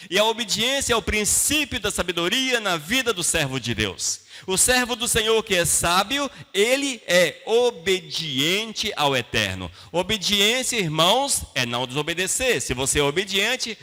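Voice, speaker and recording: A man's voice: high-pitched, pushed and bright. A Brazilian man preaching a sermon to a congregation as a pastor, teaching in plain colloquial words with rhetorical questions and short emphatic phrases. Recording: long hall reverb.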